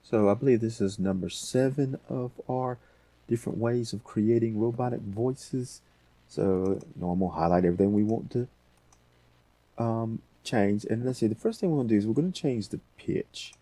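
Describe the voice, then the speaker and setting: Deep, mellow man giving a tutorial. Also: gravelly, breathy edge, dry recording. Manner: unscripted, thinking aloud. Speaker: tutorial host. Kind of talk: casual screen-recorded software tutorial.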